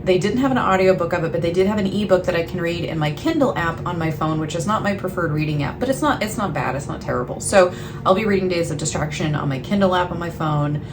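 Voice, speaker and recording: A woman with a fairly low voice talks casually to her viewers like a book vlogger. She rambles in a self-deprecating way and lets thoughts trail off. Clear ambient noise runs behind her voice. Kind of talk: casual chatty vlog talk to camera. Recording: clearly noisy, ambient background sound.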